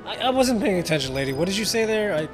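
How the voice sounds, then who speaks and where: Young man, let's play commentary, animated, joking, rambling, lively pitch, game music behind. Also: very fast. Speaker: gaming video host. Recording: voice over game audio with music.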